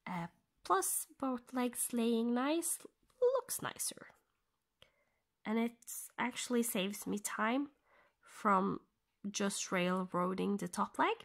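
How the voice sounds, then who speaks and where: A woman from Norway with a Norwegian accent, speaking English as a second language, explaining her cross-stitching in a soft, half-whispered way, pausing as she thinks aloud. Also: a little high, lively intonation, creaky. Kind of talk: casual stitch-along tutorial.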